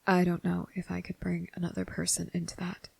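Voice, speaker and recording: A man playing a gentle, anguished young vampire in an ASMR roleplay, speaking in a hushed, halting way. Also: high voice, completely flat delivery, dry recording, exaggerated voice. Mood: anguished, fearful. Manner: hesitant, halting, breathy, hushed.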